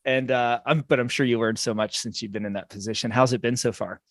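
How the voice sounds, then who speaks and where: A man's voice: mid-range voice, steady tone, fast, a bit bright, no room sound. A middle-aged American man hosting a podcast interview in a friendly, chatty way with many fillers. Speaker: podcast host.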